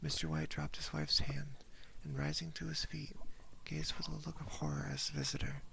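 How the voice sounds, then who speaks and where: A man reading a horror story in a slow, hushed ASMR style, often whispering, close to a binaural microphone. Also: on the high side, flat delivery, gravelly, a little hiss or hum, poor audio. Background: soft ambience.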